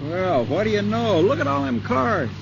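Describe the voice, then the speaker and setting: A man's voice: sits high, sing-song, normal speed, creaky, lo-fi sound, over loud music, exaggerated voice. A man playing a good-natured, folksy fire lookout in a 1950s radio drama, colloquial and eager, on an old radio recording. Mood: amazed, eager. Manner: folksy, colloquial, hesitant and drawn out.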